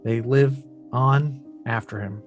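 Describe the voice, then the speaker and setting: A man playing a grown son reflecting solemnly on his father, in a slow, subdued screenplay table read. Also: mid-range voice, fairly even intonation, faint music behind, natural voice. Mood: wistful, solemn.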